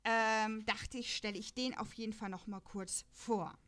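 A woman's voice: mid-range voice, steady tone, moderate pace, a bit bright. A woman hosting a casual podcast chat about radio dramas, chatty and laughing often as she talks. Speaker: podcast host.

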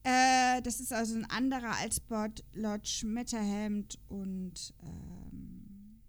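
A woman's voice: medium pitch, steady tone, slow pace. A woman hosting a podcast in casual chat about audio dramas, talking in a chatty, self-deprecating way. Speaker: podcast host.

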